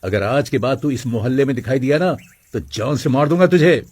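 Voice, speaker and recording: A man's voice: mid-range voice, expressive, brisk, a little mellow, close to an everyday voice. A man playing a harsh, threatening middle-aged father from a rich family in a story. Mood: menacing.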